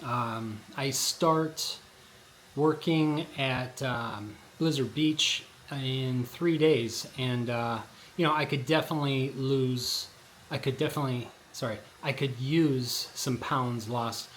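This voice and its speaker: A man with a hoarse, worn-out voice rambles through a casual vlog, filling his sentences with ums and restarts. His pitch sits in the middle range. The recording carries a clear layer of background noise. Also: takes it slowly, fairly bright tone. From Colorado. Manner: rambling, with many fillers and restarts. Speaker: vlogger. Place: outdoors at a shopping district in light rain. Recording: noisy outdoor recording.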